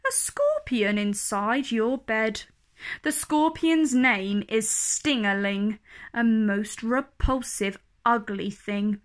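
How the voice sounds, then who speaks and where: A woman reading children's verse aloud as a storyteller, expressive and deliberate in her delivery. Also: a little high.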